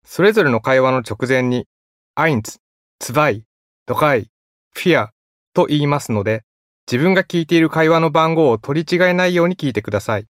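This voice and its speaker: A man reading out formal listening-test instructions in a clear, even, scripted way. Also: a little high, fast talker, no room sound, clean studio sound. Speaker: exam announcer.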